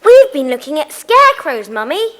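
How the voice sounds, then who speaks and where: A woman's voice: high-pitched, big pitch swings, normal speed, a bit bright. A woman playing a keen, curious schoolchild in a children's audiobook drama. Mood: curious, cheerful. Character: keen, lively schoolchild, curious club member.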